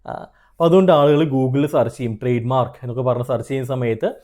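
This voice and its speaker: A man's voice: medium pitch, steady tone, fast, dark tone. A man with a Malayali accent explaining and advising viewers directly in an earnest, conversational way, mixing in English terms. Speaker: trademark consultant and video host. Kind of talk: explanatory advice straight to viewers.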